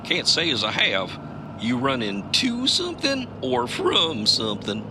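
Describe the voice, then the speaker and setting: A man's voice: on the high side, huge pitch swings, unhurried, full character voice. A man playing a cocky, folksy traveling mystery hero with breezy slang in a comedy audio drama. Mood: casual. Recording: clear car and road noise.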